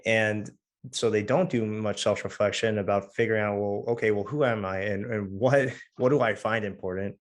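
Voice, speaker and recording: A young man with a hoarse voice hosting a casual podcast interview, talking in halting bursts with many fillers and restarts. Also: lowish voice, fairly even intonation. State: hoarse from losing his voice. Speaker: podcast host.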